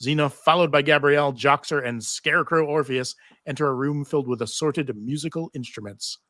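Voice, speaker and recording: A man with a bright, slightly high voice narrates a script at a live table read. He is theatrical and wry, and the sound is dry and close. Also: fairly quick, dry recording. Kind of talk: live reading of TV script stage directions with asides. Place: live convention panel.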